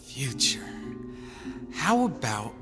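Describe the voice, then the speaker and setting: A man's voice: medium pitch, big pitch swings, slow pace. An actor playing an eager, naive male assistant, awestruck and deferential, in an old-time radio comedy drama. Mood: naive, curious. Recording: reverberant radio drama with faint music.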